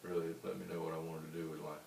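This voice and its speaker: A man with a baritone voice, a singer-songwriter answering interview questions in an unhurried, rambling way. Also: level tone, vocal fry, a little mellow. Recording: roomy, distant mic with faint hiss.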